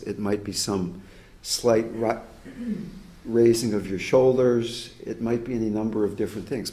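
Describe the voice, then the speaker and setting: Slow-talking man with a low, relaxed voice. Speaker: meditation teacher. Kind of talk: workshop talk to a group.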